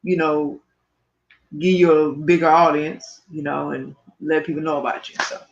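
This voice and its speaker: A man's voice: a little high, little pitch movement, normal speed, gravelly. A tired man co-hosting a podcast, talking in a casual, slangy, blunt and emphatic way. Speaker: podcast co-host. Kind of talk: casual, slangy show talk. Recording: dull, lo-fi stream audio.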